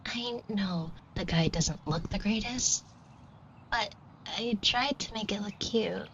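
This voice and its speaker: A shy, fond florist, acted by a woman in an audio roleplay, heard through slightly dull audio with some noise. Her voice sits at a middling pitch. She is hesitant and stammers as she talks straight to the listener, putting herself down a little. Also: slow pace, fairly bright tone. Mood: casual, bashful. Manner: hesitant, stammering, self-deprecating, colloquial. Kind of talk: scripted roleplay acting addressed to the listener. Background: shop ambience sound effects.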